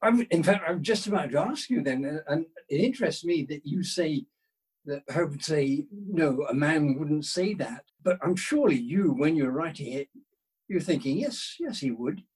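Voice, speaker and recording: A man with a husky voice chatting casually in an interview about his work, often interrupting himself with asides. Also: on the high side, animated, a little mellow, poor audio. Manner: halting, rambling with self-interruptions and asides. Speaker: guest, novelist.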